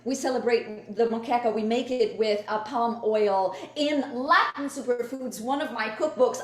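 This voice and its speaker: A middle-aged Brazilian woman with a fairly high voice and a Brazilian accent from her native Portuguese. She tells her story as an enthusiastic, passionate guest in a conversational interview. The sound is that of an echoey room over a video call. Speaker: guest chef and cookbook author.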